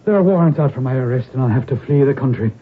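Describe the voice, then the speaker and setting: A man plays a proud, rash Irish lord in a radio play. His mid-pitched voice is husky and gravely dramatic, heard through a thin, narrow-band broadcast recording. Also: expressive, racing, close to an everyday voice. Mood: grave, desperate.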